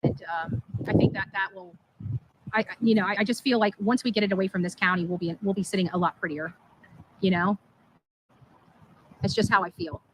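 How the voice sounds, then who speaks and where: A woman's voice: medium pitch, fairly even intonation, brisk, long pauses, a little hiss or hum, low-quality recording. A woman livestreamer speaking in an emphatic, indignant and repetitive way as she vents. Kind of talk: live stream monologue, venting.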